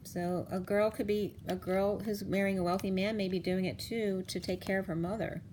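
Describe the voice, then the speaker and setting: A woman reading tarot cards for viewers in a casual, rambling way, her voice going hoarse. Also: mid-range voice, fairly even intonation, darkish tone, slight background noise. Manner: rambling, halting restarts. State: losing her voice. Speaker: tarot reader. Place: home room, table of cards. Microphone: camera on the cards, speaker off screen.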